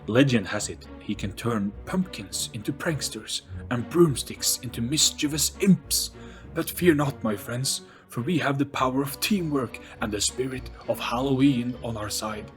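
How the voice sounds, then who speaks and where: A man voicing a courteous, wistful male ghost guide with a dramatic, halting delivery in an audio play. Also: medium pitch, soft background music, pitched above the performer's own voice, natural voice. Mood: encouraging.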